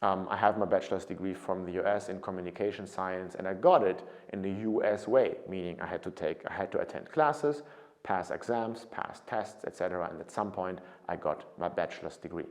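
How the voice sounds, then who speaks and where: A man's voice: deep voice, fairly quick. A man in his thirties with a German accent, talking to camera as a study abroad consultant, earnest and prone to restarts. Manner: earnest, with frequent restarts and repeated words.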